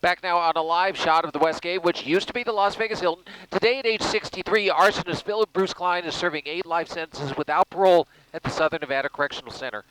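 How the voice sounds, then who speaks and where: High-pitched man reporting TV news. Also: steady tone, quick pace, few pauses, a bit bright, slight background noise, rough recording.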